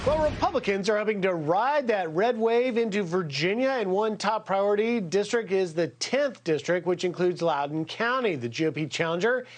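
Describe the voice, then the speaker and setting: A man's voice: sits high, lively intonation, moderate pace, hardly any pauses. A man anchoring a TV news segment, introducing a guest and asking pointed questions. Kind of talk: TV news interview.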